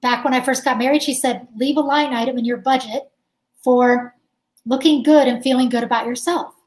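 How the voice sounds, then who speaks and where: A woman's voice: high voice, steady tone, normal speed, a little mellow. A woman guest in an interview, talking casually and chattily, laughing often and saying "like" a lot. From United States. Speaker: guest musician.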